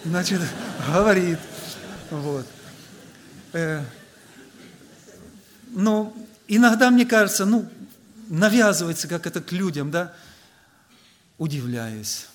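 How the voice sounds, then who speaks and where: A man's voice: sits high, expressive, slow, pauses often. Male preacher, tenor-ish, informal storytelling delivery, leans on fillers. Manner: conversational, anecdotal, frequent filler "vot". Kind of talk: storytelling sermon to a congregation.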